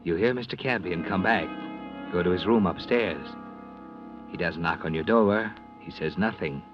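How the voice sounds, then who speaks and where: An American actor playing a sardonic, ominous all-knowing narrator in an old radio mystery, deliberate and mocking. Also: male voice, low-pitched, over music, lightly acted. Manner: mocking, deliberate, addresses characters as "you". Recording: dull lo-fi vintage radio recording.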